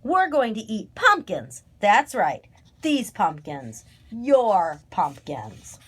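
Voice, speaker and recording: A woman's voice: extremely high pitch, sing-song, moderate pace, darkish tone, voice pushed up. A woman voicing a saucy, scornful female crow in a children's storybook, with a sassy, mocking manner.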